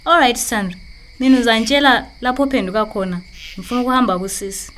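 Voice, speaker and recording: A woman with a Zimbabwean accent acting a soft-spoken, doubting secret girlfriend in a radio drama. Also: fairly high pitch, fast, a little hiss or hum, lightly acted. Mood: sulky, soft.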